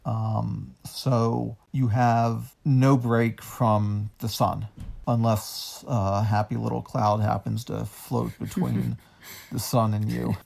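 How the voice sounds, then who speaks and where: Unhurried guest, male, gravelly, halting answers with a touch of dry humor. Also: medium pitch, little pitch movement, darkish tone. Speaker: guest, long-distance hiker. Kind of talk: casual interview answers.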